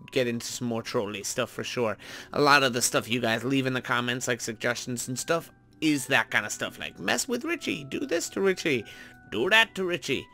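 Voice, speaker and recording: A man's voice: medium pitch, bright, forceful tone. A man hosting a gaming video with casual, playful, chatty commentary in an off-the-cuff way. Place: indoor voice-over recording over gameplay. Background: background music.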